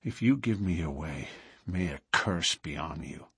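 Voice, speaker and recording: A male narrator plays a careworn older man from a storybook, guarded and bitter. The voice is low and husky, hesitant, and swings widely in pitch when anguish breaks through. It is recorded close and dry. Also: big pitch swings, measured pace, dry recording, full character voice. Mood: uneasy, confiding. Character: cautious, bitter older man, troubled lodge brother. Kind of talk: audiobook character dialogue.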